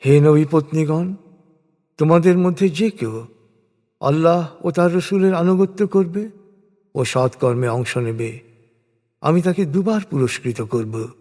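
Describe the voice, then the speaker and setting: A man narrating translated scripture from a script in a solemn, formal, measured way with deliberate pauses. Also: a little high, lively intonation, warm tone. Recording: long reverberant hall-like echo.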